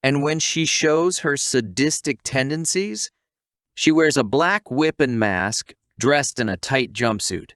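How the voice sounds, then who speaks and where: A man's voice: mid-range voice, takes it slowly, fairly bright tone, dry recording, clean studio sound. A man reading a scripted story recap as a narrator.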